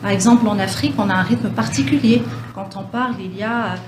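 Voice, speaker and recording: A woman professor answers interview questions thoughtfully, pausing and restarting often. Her voice sits in an echoey room with a steady hiss of noise behind it. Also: mid-range voice. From France. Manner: thoughtful, many hesitations and restarts. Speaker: French professor, guest.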